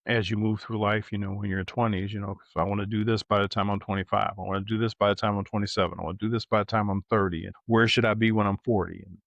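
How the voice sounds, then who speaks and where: A middle-aged man co-hosting a podcast in casual, reflective conversation, thoughtful and halting, often checking in with a questioning tag. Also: lowish voice, flat delivery, creaky, no echo, muffled recording. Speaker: podcast co-host.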